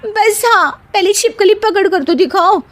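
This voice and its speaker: A woman's voice: very high voice, measured pace, a bit bright. A woman voicing a timid yet cheeky young Indian daughter-in-law in a cartoon story, with whiny, pert back-talk. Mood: doubtful. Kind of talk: Hindi cartoon story voice acting.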